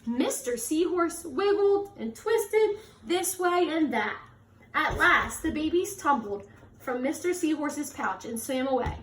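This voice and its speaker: A woman reading a children's story aloud with expressive, drawn-out emphasis and short asides. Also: high-pitched. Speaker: read-aloud host for children. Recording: echoey room with faint noise.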